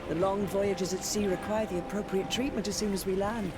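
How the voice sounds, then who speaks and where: An actress playing a brisk, courtly lady former governor in a video game, formal, commanding and proper. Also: medium pitch, fairly even intonation, heavy background noise, close to an everyday voice. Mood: brisk authority. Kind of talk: dramatic video game acting. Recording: loud music behind the voice.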